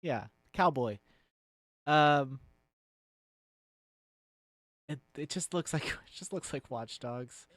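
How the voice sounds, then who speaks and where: A man's voice: fairly high pitch, a little slow, fairly bright tone. A man giving casual, off-the-cuff commentary as a streamer, blunt and sweary, rambling and often trailing off mid-thought.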